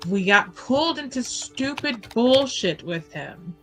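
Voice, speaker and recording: A woman playing a tabletop roleplaying game, speaking in character deliberately, with pauses between her phrases. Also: on the high side, lively intonation, a bit bright, soft background music. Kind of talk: in-character roleplay, measured delivery.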